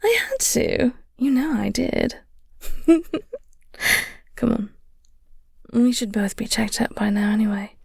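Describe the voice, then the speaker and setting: A woman playing a flirty, teasing vampire nemesis who secretly cares, in an intimate audio roleplay, speaking softly and half-whispered with wry, elegant phrasing. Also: a little high, lively intonation, no room sound, studio quality, heavily acted. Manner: breathy, soft, slow, half-whispered delivery.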